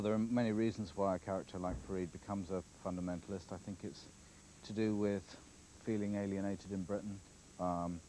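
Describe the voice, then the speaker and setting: A man's voice: low voice, mellow, slight background noise, low-quality recording. A man giving thoughtful, explanatory interview answers. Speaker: writer interviewed.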